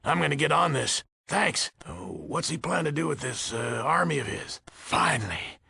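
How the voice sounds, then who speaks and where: A weary, gruff old American leader of a military order in a video game. His voice is very gravelly and husky, pitched a little high for a man, with a hard forceful edge. He talks in a blunt, folksy grumble, recorded close and dry. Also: very animated, normal speed, no echo, heavily acted. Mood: impatient. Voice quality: gravelly, raspy. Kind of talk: video game character dialogue.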